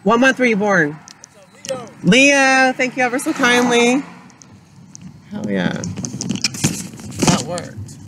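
A man's voice: very high-pitched, big pitch swings, takes it slowly, pauses often. A young man filming a street vlog from a handheld camera, outdoors and in a car, upbeat and repeating a stock thank-you phrase. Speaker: vlogger asking strangers their sign. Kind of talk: street vlog, asking passersby. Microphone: handheld camera at arm's length. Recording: noisy outdoor phone video.

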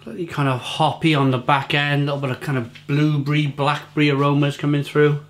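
A man's voice: a little high, flat delivery, brisk. A man in his forties from England, reviewing a beer to camera in his home kitchen, chatty and often repeating words as he searches for them.

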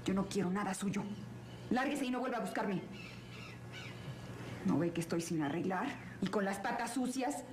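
A woman's voice: medium pitch, normal speed, pauses often, background noise, natural voice. An actress playing a proud, wounded young country woman in a telenovela, blunt and defensive. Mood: angry, bitter. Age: young adult. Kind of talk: 1970s telenovela acting. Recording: old TV audio under loud music.

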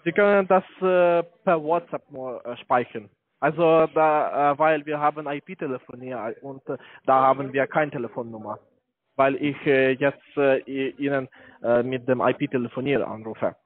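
A man with a foreign accent, not a native German speaker, giving a halting sales pitch as a call-centre investment salesman. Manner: halting, searching for words. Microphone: telephone. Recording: tinny narrow-band phone line.

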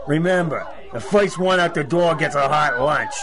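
An actor playing a menacing, mocking bank robber barking threats in a 1930s-style radio crime drama. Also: male voice, fairly high pitch, moderate pace, crowd noise, natural voice. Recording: very noisy, crowded scene effects.

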